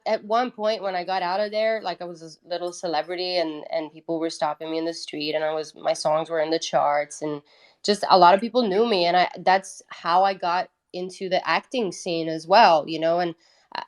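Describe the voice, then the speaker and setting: Low-pitched Italian woman, candid and informal. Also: fairly even intonation, normal speed. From Italy. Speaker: guest, independent musician. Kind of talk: casual interview storytelling.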